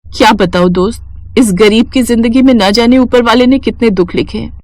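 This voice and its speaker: A woman's voice: on the high side, moderate pace, darkish tone, dry recording, close to an everyday voice. A woman voicing a weary, devoted young street-food seller, plaintive and lamenting in a melodramatic story. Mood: sorrowful.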